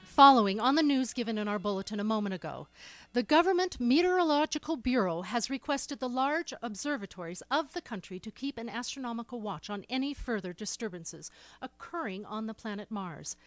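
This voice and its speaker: Woman as a high-voiced formal radio bulletin announcer. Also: animated, no room sound, lightly acted. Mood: formal, composed. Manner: formal, measured.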